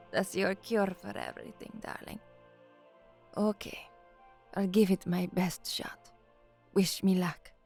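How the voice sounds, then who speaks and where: A young woman newsreader in an audio drama. She is anxious underneath and works at sounding composed, with a mid-pitched voice. Music plays along behind her. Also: fairly even intonation, slow pace, vocal fry, pauses often, a little mellow. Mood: rough, affectionate. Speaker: radio newsreader and narrator. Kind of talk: audio drama dialogue, narration and formal newsreading.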